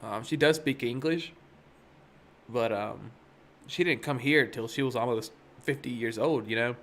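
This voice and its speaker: A young man hosts a day trading live stream, talking casually into a desk mic. He rambles and drifts into asides, leaning on fillers as he goes. His voice sits in an ordinary middle pitch. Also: unhurried, fairly bright tone. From Miami, USA. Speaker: day trading livestream host.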